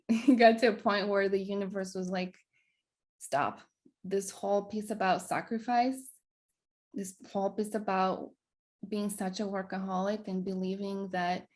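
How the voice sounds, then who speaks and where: A woman's voice: medium pitch, darkish tone. A woman from Greece with a Greek accent, a guest sharing her personal story earnestly in conversation, often repeating words as she goes.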